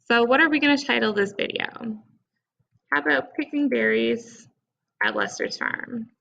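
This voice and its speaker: Very creaky-voiced woman giving a casual tutorial. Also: a little high, huge pitch swings, normal speed, bright, forceful tone. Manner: informal, conversational. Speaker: tutorial presenter. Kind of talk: casual step-by-step software screencast tutorial. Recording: dull, lo-fi microphone sound.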